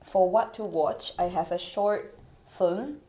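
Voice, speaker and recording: A woman from Malaysia co-hosts a relaxed podcast chat. Her voice sits a little low and mellow, and she talks in a thoughtful, gently emphatic way. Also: slow pace. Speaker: podcast co-host. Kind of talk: casual conversational chat.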